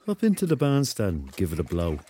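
A kindly, cryptic rock legend back from the dead. His voice sits a little low and moves with lively inflection, laconic and gently mocking in a radio play. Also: a little fast, breathy edge, exaggerated voice. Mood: dry, teasing. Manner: laconic, easygoing, dryly teasing. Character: laid-back, kindly, cryptic ghost of an Irish rock star. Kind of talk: radio drama dialogue.

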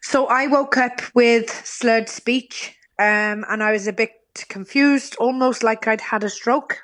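A woman's voice: on the high side, steady tone, a little slow, fairly bright tone. A woman from Lincolnshire with a Welsh accent, a guest on a radio show, talking casually with plenty of ums. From Lincolnshire, England. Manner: chatty, many ums, laughs easily. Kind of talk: casual phone-in chat.

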